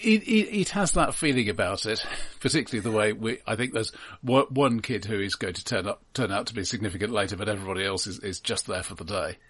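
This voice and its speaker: An English man co-hosting a casual film discussion, speaking haltingly and repeating words as he goes. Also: on the low side, a bit bright, husky. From England. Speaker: podcast co-host.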